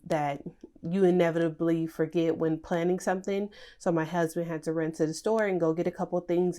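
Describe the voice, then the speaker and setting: Woman, young adult, lowish voice, nasal, congested, casual chatty host, lots of fillers. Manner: relaxed, chatty, many fillers. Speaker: budgeting channel host. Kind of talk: casual talk over budget planning.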